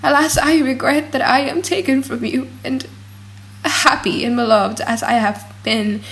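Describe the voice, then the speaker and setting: A woman voicing a gentle, resigned mother on her deathbed in an audiobook, softly and falteringly. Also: high-pitched, measured pace, vocal fry, heavily acted. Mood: tender, sorrowful. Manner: soft, faltering deathbed delivery. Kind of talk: dramatic audiobook acting.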